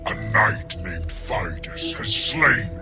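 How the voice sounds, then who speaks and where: A mighty, brooding giant from an allegorical audio drama. He speaks with grave menace at a very drawn-out pace. His delivery swings widely in pitch, with music clearly playing underneath. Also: animated, full character voice. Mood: grim scheming. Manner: slow, grave, ominous. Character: brooding tyrant giant of selfishness, dark and mighty.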